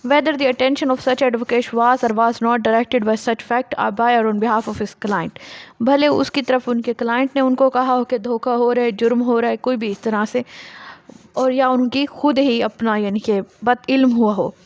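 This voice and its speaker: A woman's voice: on the high side, steady tone, unhurried, dull audio. A woman giving a law lesson, reading out formal text and explaining it by posing and answering her own questions. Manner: explanatory, poses questions and then answers them. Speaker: law lecturer.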